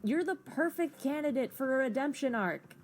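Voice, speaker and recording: A woman voicing a teasing cat-like sprite in an audio comic drama, drawling slowly as she patiently persuades. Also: sits high, animated, soft background music, pitched above the performer's own voice. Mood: earnest, coaxing. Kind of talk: hobby voiced comic drama.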